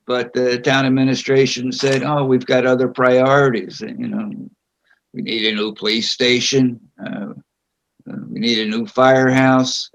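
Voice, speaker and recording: An older man answering interview questions at a public meeting over a video call, speaking slowly and haltingly with frequent pauses. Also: medium pitch, level tone. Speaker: board candidate being interviewed. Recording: dull lo-fi video call audio.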